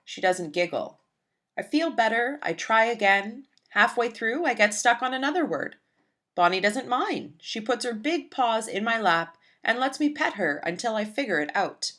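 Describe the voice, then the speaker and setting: A woman voicing an earnest, shy young schoolgirl who narrates her own storybook tale with deliberate, childlike phrasing. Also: a little high, expressive, a bit bright.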